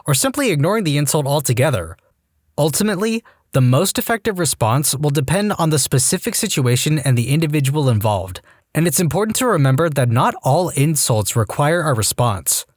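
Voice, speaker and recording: A man narrating posts aloud in a lively, wry storytime style, close to the mic. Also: on the high side, no room sound.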